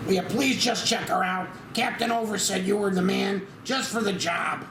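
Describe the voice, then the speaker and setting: A man's voice: sits high, normal speed, creaky. A man playing an earnest, anxious airline crewman in a comic table read, stammering and hesitant. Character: flustered, earnest airline crewman seeking help. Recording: echoey room, noticeable background noise.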